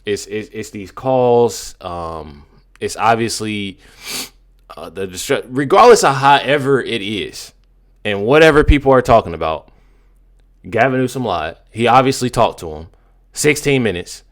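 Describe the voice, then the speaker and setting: An American man doing casual political commentary as a video host, in an emphatic, slangy, halting way with many repeats. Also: lowish voice, expressive.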